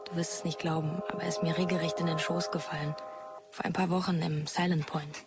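A woman acts a young woman in a science fiction audio drama. Her voice is on the low side, casual and conversational with slight hesitation. Music plays clearly behind her. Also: flat delivery, fast, lightly acted. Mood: matter-of-fact. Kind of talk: sci-fi audio drama acting.

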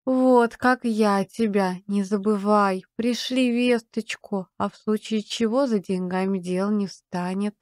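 An actress playing a bold, cunning, passionate wife in an audiobook drama, with a coaxing, intimate manner. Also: female voice, mid-range voice, fairly even intonation, measured pace, hardly any pauses, a little mellow, no echo, studio quality, close to an everyday voice.

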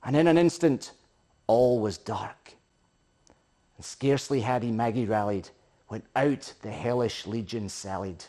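A middle-aged Scottish man recites narrative verse in Scots like a practised storyteller. His voice sits at a medium pitch. The delivery is theatrical and emphatic, moving between hushed phrases and bigger, rousing ones. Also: a little slow. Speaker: poetry reciter. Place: living room, indoors.

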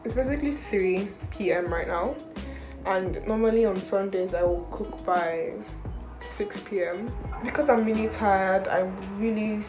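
A young adult woman talks casually to her vlog camera in an echoey flat, with music playing behind her. She has a mid-pitched voice and rambles informally, like a student catching up with friends. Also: unhurried. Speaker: vlogger, university student. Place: indoor student flat kitchen and rooms. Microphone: camera at arm's length or propped nearby. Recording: echoey room, background music.

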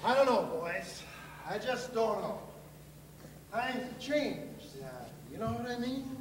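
Male actor as old showbiz agent, very high voice, grousing, crass, stage comedy, echoey recording. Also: animated, slow, full character voice. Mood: skeptical. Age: older. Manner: grousing, doubtful. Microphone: far camera. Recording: echoey stage, distant mic, noisy audience.

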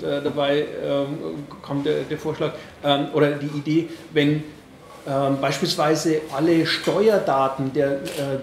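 A man in his forties with an Upper Palatinate accent, answering questions at a press conference in a halting way, with many fillers and restarts. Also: on the high side, reverberant, slight background noise. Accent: Upper Palatinate, Franconian-tinged. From Bavaria, Upper Palatinate. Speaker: party board member at press conference.

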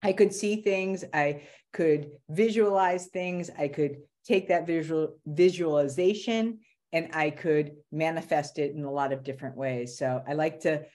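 A middle-aged woman from the Boston area, a career strategist talking casually as a guest on a video call, animated and restarting her words. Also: deep voice, measured pace. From Boston area, USA. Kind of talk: casual interview talk. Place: home room with shelves.

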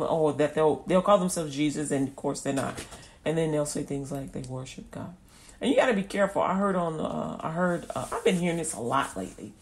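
A woman's voice: sits low, darkish tone. A woman preaching an informal radio sermon, folksy and repetitive, acting out little scenes as she goes.

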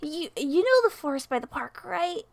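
A woman voicing a nervous, excitable young friend who stammers, as character acting for a demo reel. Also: sits high, moderate pace, vocal fry, no echo, voice pushed up, exaggerated voice. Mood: panicked.

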